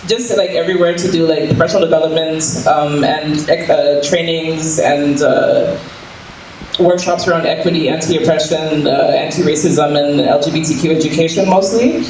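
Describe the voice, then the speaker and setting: A man's voice: a little high, steady tone, moderate pace, a little mellow. A man from Canada introducing himself informally as a panelist and education consultant. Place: indoor public panel event. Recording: echoey room, distant mic, noisy.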